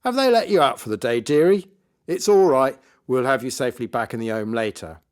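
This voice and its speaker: A man's voice: medium pitch, animated, fairly quick, voice pushed up, close to an everyday voice. A man voicing a nasty, blustering party magician with sneering showman patter in a children's storybook. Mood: mocking. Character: nasty loud male children's party magician, mocking and cowardly.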